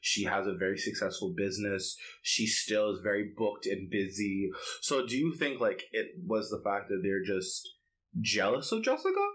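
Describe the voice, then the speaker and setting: A man with a bright, forceful voice that sits a little low. He chats as a podcast co-host, sassy and theatrical, with slangy, animated storytelling. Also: normal speed, poor audio. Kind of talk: casual chat and animated storytelling.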